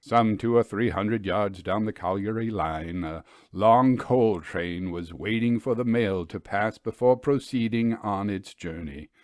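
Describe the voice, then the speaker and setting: A man reads a literary ghost story in a lowish voice. His delivery is very slow and measured, with deliberate dramatic pauses. The sound is dry, with hardly any room around it. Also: no room sound. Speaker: audiobook story reader.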